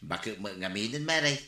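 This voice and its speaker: Casting: lazy, gossipy Ilocano husband for radio comedy; forceful, highly animated, roomy sound. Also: male voice, exaggerated voice. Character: idle, wheedling husband who loafs at store and gossips.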